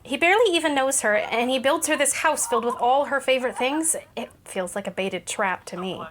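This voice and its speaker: A young actress playing a sarcastic, guarded ex-actress daughter in an audio drama, with a dry, skeptical delivery. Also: female voice, high voice, fairly quick, fairly bright tone, a little hiss or hum. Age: young adult.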